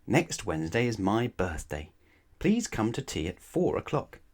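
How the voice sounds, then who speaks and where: A man voicing a jolly, greedy storybook gentleman, hearty and welcoming, who draws out his words. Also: on the low side, big pitch swings, unhurried. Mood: inviting.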